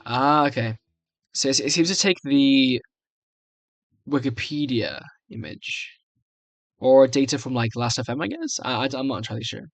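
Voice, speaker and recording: A young man giving casual, hesitant, rambling commentary as he tries out software on screen, often muttering reactions to himself. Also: mid-range voice, normal speed, pauses often, dry recording. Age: young adult. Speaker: video creator. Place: small room indoors.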